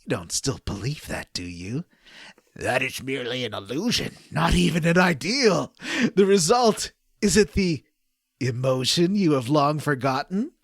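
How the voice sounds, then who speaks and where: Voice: medium pitch, animated, unhurried, no room sound, clean studio sound, voice pushed down. A podcast host voicing solemn, grandiose godlike elders from a game, theatrical and pompous. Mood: grave, questioning. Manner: theatrical, pompous, breaking into laughter.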